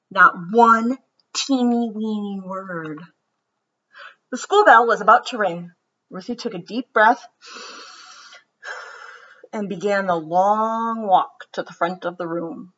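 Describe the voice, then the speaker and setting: A woman reading a children's picture book aloud with expressive storytelling, then gently reflecting on it. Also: medium pitch. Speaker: storybook reader. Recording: dull lo-fi recording.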